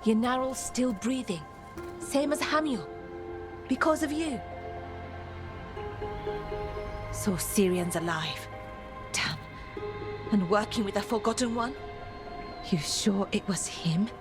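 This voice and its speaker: A woman's voice: fairly high pitch, expressive, measured pace, pauses often, over music. A woman playing a blunt, resolute and knowledgeable elven scout in a fantasy game, with a matter-of-fact delivery. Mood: grim, blunt.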